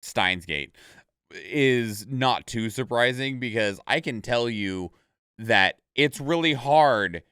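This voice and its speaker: Male streamer, casual reaction commentary. Clean resonant voice, dry recording, thinks aloud in halting, rambling runs. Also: mid-range voice, animated, fairly bright tone, smooth, no room sound. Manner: rambling, thinking aloud with stop-and-start phrasing. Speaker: video creator and streamer reacting to game trailers.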